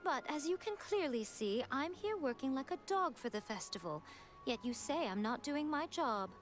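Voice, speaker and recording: An actress playing a sly, elegant female fox-spirit priestess who talks in a smooth, teasing and regal way. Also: high voice, animated, moderate pace. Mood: mock-hurt. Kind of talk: game cutscene voice acting. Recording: game audio with soft music behind. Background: music.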